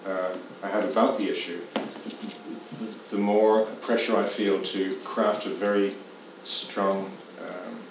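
A very low-voiced Irish man in his fifties answers a panel question haltingly, full of ums and repeated words. His voice booms around a large hall from a distant mic, with clear room noise underneath. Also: level tone. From Ireland. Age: 50s. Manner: hesitant, many fillers and repeats. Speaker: poet. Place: stage in a dim theatre hall. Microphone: far camera. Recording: echoey hall from far off.

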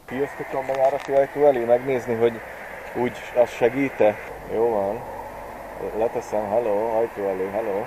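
A man in his forties giving a relaxed, chatty gadget review, pausing often with filler sounds, recorded on a camera mic. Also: medium pitch, noisy background. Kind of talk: casual product review vlog.

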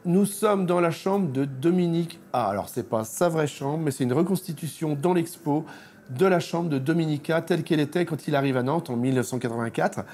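Mellow, higher-voiced middle-aged Frenchman presenting. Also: fairly quick, a little hiss or hum. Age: 50s. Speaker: exhibition curator and presenter. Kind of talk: informal presentation to camera. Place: indoor exhibition, reconstructed bedroom.

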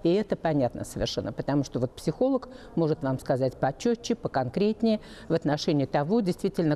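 An older woman giving her opinion as a guest expert on a talk show, halting and repeating words for emphasis. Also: sits low, mellow, a little hiss or hum. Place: TV talk show studio, seated.

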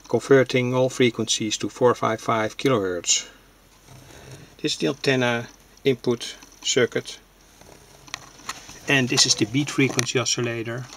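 A man with a creaky voice gives a halting, unscripted technical demonstration, explaining things plainly. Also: medium pitch, a bit bright. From Netherlands. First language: Dutch. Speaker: hobbyist radio builder, presenter. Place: indoors at a home workbench. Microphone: handheld camera's built-in microphone.

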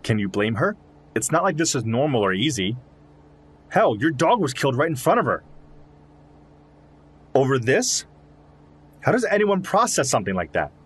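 Husky, earnest zoologist friend. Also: male voice, medium pitch. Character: concerned, loyal male zoologist friend of an investigator. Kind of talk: audio drama dialogue.

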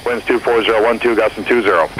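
A man's voice: lowish voice, fairly even intonation, fast, a bit bright, poor audio. A man working as an air traffic controller, giving clipped, courteous instructions over aviation radio. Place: airport tower radio, heard in a jet cockpit. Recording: aviation radio with faint noise.

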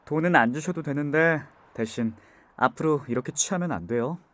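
A young man voicing a kind, soft-spoken driver in an ASMR audio drama, talking gently and comfortingly. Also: a little high, normal speed, no room sound, natural voice. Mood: gentle, comforting.

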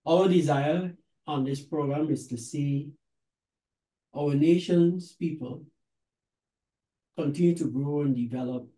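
Guyanese man, mellow tone, deliberate halting delivery, talk show host, roomy video-call sound. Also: a little high. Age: middle-aged. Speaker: host, reverend. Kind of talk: reflective talk show hosting. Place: book-lined office.

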